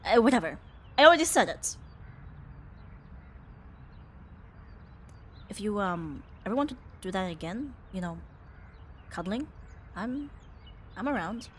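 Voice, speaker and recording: Young tomboy roommate played by a woman for ASMR romance: fond, flustered, teasing and halting, with very mobile intonation over light ambient noise. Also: medium pitch, lively intonation, fairly bright tone, close to an everyday voice. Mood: flustered, sheepish. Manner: hesitant, teasing, breathy sighs and nervous laughs. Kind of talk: intimate ASMR romance roleplay.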